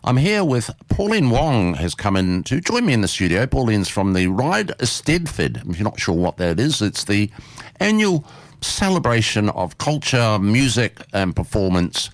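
Animated, rambling radio host, low-voiced man. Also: a little slow, dry recording. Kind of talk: casual chat, interviewing a studio guest. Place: radio studio. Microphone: close studio microphone.

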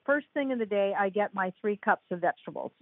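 A woman's voice: mid-range voice, animated, fast, no room sound. An older woman, a podcast guest and author, chatting casually and rambling a bit with fillers. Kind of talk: casual interview chat. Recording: tinny phone-like line, dry.

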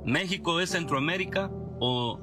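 A man's voice: fairly high pitch, normal speed, loud music behind the voice. A man from Guatemala, giving a heartfelt, earnest message in a played social media clip.

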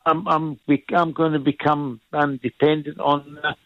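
A man in his seventies with a Scottish accent, calling in to a talk radio show, speaking earnestly and haltingly. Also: fairly high pitch, little pitch movement, no echo, narrow, tinny audio. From Scotland. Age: 70s. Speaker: caller.

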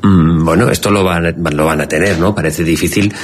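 A man with an accent from Spain hosting a podcast in casual banter, speaking with measured, hesitant phrasing. His native language is Catalan. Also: sits low, fairly even intonation, breathy edge. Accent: Spanish from Spain. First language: Catalan/Valencian. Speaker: podcast host.

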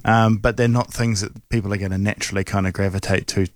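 A man, a psychotherapist on radio, explaining calmly with dry humor. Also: fairly low pitch, fast talker, slight background noise. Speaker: psychotherapist guest. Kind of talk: calm explanation. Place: radio studio.

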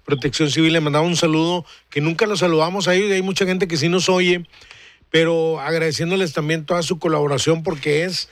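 A man in his forties with a slightly high voice hosts a radio show. He rambles in a friendly way and circles back over his words. The studio sound is dry and close. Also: fairly even intonation, brisk, a bit bright, no echo. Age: 40s. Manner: friendly, rambling, repeats himself. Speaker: radio host. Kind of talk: casual radio chat.

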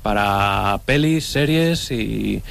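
A man's voice: on the low side, animated, extremely slow, vocal fry, fairly bright tone, a little hiss or hum. A man from Bilbao with a Spanish accent from Spain, chatting casually as an audience contestant. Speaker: audience contestant, effects artist. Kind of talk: casual chat.

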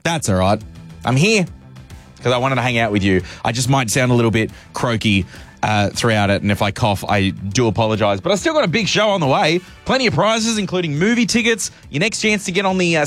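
An Australian man hosts afternoon radio in an upbeat, chatty way. His voice is noticeably hoarse and croaky, sitting a little high. A music bed plays clearly under him. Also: fairly bright tone. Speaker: drive-time radio host. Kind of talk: casual radio chat.

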